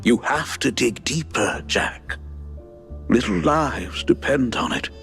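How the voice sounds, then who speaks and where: A man's voice: mid-range voice, slow pace, creaky, a bit bright, faint music behind. A man playing an earnest, anxious ally of a detective in a video game, with a stuttering delivery. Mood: earnest, urgent.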